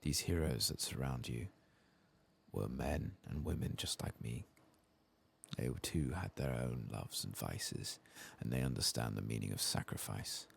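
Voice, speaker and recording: A man voicing a weary, grieving old elf in a fantasy game, soft-spoken, solemn and formal. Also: rumbling low, measured pace, vocal fry, husky, heavily acted. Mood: solemn resolve. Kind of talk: soft-spoken game dialogue read-aloud.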